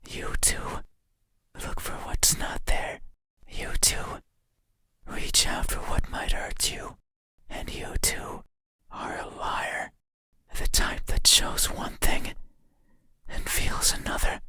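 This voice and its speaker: An actor voicing a creepy, intimate bedside monster in a horror game, pleading and menacing in a breathy, insistent whisper. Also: bright, forceful tone. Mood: accusing, eerie. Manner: slow, insistent, eerily deliberate.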